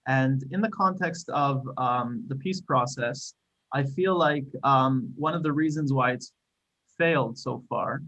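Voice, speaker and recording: A man's voice: mid-range voice, a bit bright, poor audio. A man with an Israeli accent speaking thoughtfully on a discussion panel, with frequent small restarts. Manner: thoughtful, measured with hesitant restarts.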